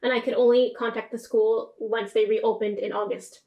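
A young woman from Quebec telling animated stories on a casual podcast, acting out what others said. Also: on the high side, normal speed, echoey room, dull audio. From Quebec, Canada. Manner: animated, acts out quotes of others. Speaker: podcast co-host. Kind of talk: casual chat, storytelling. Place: small room at home, indoors.

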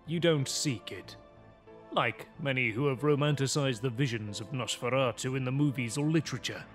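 An actor playing a cold, menacing, aristocratic male vampire in a horror audio drama, with formal, deliberate, theatrical delivery. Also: medium pitch, lightly acted. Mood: sinister, condescending. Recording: music behind the voice. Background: music.

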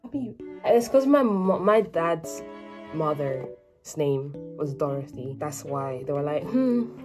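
A woman's voice: deep voice, lively intonation, takes it slowly, over music. A teenage girl born in Spain, talking casually to camera from her small bedroom, with joking asides to herself. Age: teens. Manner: chatty, self-mocking asides. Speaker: vlogger. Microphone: phone or webcam at arm's length.

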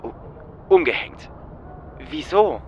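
Cyberpunk audio drama: daring gang-style runner, notably high-pitched male, played by a man. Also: sing-song, slow, muffled recording, slight background noise. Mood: puzzled. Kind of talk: fan audio drama acting.

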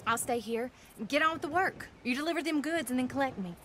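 A woman's voice: high voice, quick pace, fairly bright tone, a little hiss or hum. An actress playing a firm, plain-spoken frontier wife and mother with an American accent in a western game, direct and folksy. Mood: tender, firm. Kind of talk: cinematic western game dialogue.

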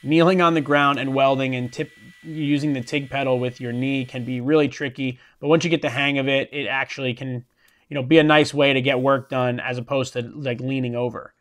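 A man in his thirties, a welder and shop owner, talking through his work in a relaxed, practical, matter-of-fact way. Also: mid-range voice, fairly quick. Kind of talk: explanatory how-to narration. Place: metal workshop, indoors.

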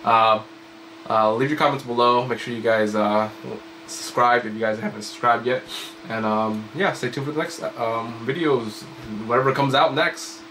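A man's voice: mid-range voice, normal speed, background noise. A young man in his twenties casually reacting to a trailer in a small home room, rambling with lots of fillers and sounding slightly sick. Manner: rambling, filler-heavy, excitable. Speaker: reaction video host. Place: small home room with computer desk, indoors.

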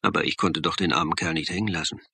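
An actor playing a shrewd, insistent college lecturer who narrates and acts in a German radio crime play, speaking deliberately and articulately. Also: male voice, rumbling low, steady tone, gravelly, pushed and bright, slightly rough, full character voice. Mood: reflective narration. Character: male college lecturer turned amateur sleuth, shrewd, insistent. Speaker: narrator and lead character.

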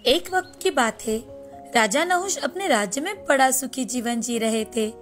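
A woman reading scripted narration for an animated children's tale. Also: high-pitched.

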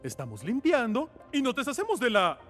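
Cheerful cartoon man, very high for a male, hugely animated, music behind. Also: brisk, heavily acted. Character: cheerful, friendly grown man, new homeowner. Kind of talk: children's cartoon dub.

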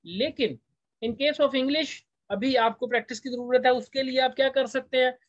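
A man giving an informal lecture as a teacher, explaining point by point and slowing down for English phrases. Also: extremely high pitch, no room sound. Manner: explanatory, slows down when reading English phrases. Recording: dull, lo-fi close recording.

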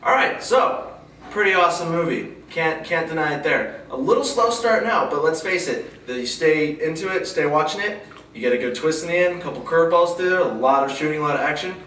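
A young man hosting a casual movie review, loose and chatty, often trailing off low. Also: expressive, fairly quick, fairly bright tone. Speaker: host of a movie review show. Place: small indoor studio with a poster backdrop. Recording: echoey room, mic far off, faint hiss.

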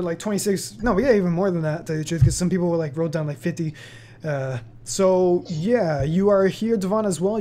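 A man's voice: sits high, mellow. A seventeen-year-old young man hosting a casual question-and-answer chat on his channel, rambling with many fillers and laughs. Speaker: channel host.

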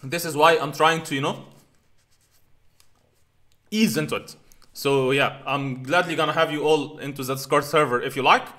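A man in his thirties, a native Arabic speaker, talks through viewer comments in a loose, rambling way, stopping and restarting often. His voice sounds bright and forward. He is close on a headset mic in a small home room. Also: fairly high pitch. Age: 30s. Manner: rambling, halting, many fillers and restarts. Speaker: channel host answering comments.

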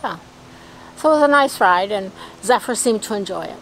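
A woman's voice: mid-range voice, expressive, brisk, a little hiss or hum, poor audio. An older woman chatting casually outdoors as co-host of a travel vlog, easygoing and agreeable.

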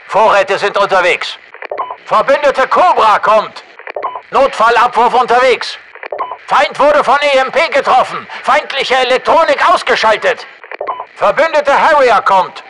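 An intense special forces soldier calls out terse orders and reports in a military shooter game. His voice is high for a man, sharp and widely varied in pitch. It comes over a crackly radio link. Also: exaggerated voice. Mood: brisk, reporting. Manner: clipped short barked orders and status reports. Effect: radio filter.

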